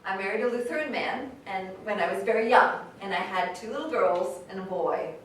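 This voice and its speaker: A woman's voice: mid-range voice, brisk, lightly acted. A woman playing a frank, loving, free-spirited mother telling plainspoken stories in a staged reading. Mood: fond, reminiscing. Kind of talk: staged reading, storytelling monologue. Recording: very echoey hall, distant mic, noisy.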